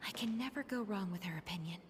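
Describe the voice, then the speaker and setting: A woman voicing a friendly young townswoman in a video game, with an animated, gushing way of talking. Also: mid-range voice, fast talker, slight background noise, natural voice. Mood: excited.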